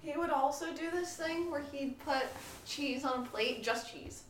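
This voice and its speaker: Female podcast guest, high voice, casual interjections from off mic in a roomy space. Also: unhurried, far from the mic, slight background noise. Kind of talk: casual chat, short interjections. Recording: echoey room, away from the mic.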